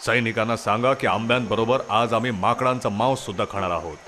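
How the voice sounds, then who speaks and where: A man's voice: fairly low pitch, fairly quick, pushed and bright, a little hiss or hum. A man playing an authoritative human king in a children's cartoon story, regal and commanding. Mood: imperious.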